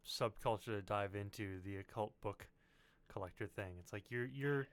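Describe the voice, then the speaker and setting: A man's voice: lowish voice, normal speed, clean tone. A man hosting a casual chat, talking in a colloquial, conversational way. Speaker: podcast host.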